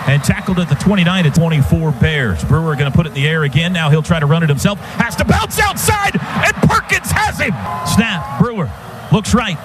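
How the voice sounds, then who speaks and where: High-pitched American football radio announcer, man. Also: a little fast. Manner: clipped running phrases, excited shouts on big plays. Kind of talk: live American football play-by-play commentary. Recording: noisy stadium radio broadcast.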